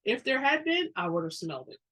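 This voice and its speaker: Brash, husky-voiced female burglar acted by a woman. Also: sits high, very animated, brisk, fairly bright tone, voice pushed up, full character voice. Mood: puzzled. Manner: blunt, emphatic. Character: brash, confident female burglar with keen nose.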